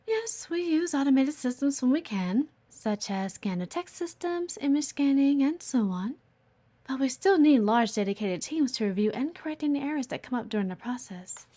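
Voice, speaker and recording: A voice actress playing a sharp, demanding female office executive in an audio roleplay, teasing and condescending, with a theatrical, flirty delivery. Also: sits high, expressive, moderate pace. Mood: wry, businesslike. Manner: teasing, haughty, theatrical, sighing. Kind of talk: intimate audio roleplay acting.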